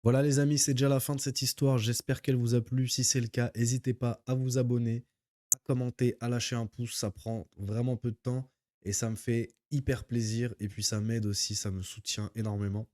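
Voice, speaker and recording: Male storyteller, casual and wry, mid pitch, dry close sound. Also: little pitch movement, a little fast, mellow, no echo. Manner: playful, mock-admiring commentary. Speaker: YouTube storyteller.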